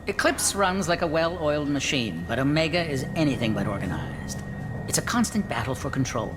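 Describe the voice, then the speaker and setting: An actor playing a cool, arrogant, vengeful alien mercenary boss in a video game, clipped and superior in manner. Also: male voice, a little high, big pitch swings, a little fast. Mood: dry, arrogant. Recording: noisy game ambience behind.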